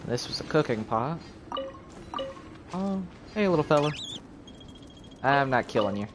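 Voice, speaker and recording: A man's voice: medium pitch, very animated, unhurried, background noise. A man giving casual live gameplay commentary as a game streamer, thinking aloud as he plays. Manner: thinking aloud while playing. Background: game music.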